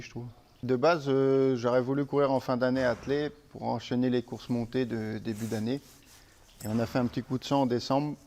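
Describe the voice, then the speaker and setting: A middle-aged man from Normandy, a horse trainer, speaking in a relaxed, halting way with restarts in a casual interview. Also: medium pitch. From Manche, Normandy, France.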